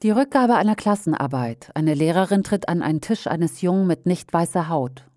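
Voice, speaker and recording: Woman, lowish voice, reads a critical commentary with pointed, measured emphasis, dry close sound. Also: animated, a little mellow, no echo. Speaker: reader of an opinion commentary. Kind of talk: read-aloud opinion commentary.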